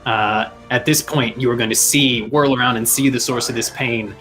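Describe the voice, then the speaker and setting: A man improvising tabletop game narration as game master, telling the scene in a halting, descriptive way. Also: mid-range voice, steady tone, bright, forceful tone. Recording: music behind the voice. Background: music.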